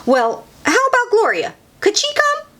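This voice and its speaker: A woman's voice: very high-pitched, huge pitch swings, normal speed, bright, forceful tone, pitched above the performer's own voice, exaggerated voice. A woman voicing a polite, coaxing female school principal in a children's storybook.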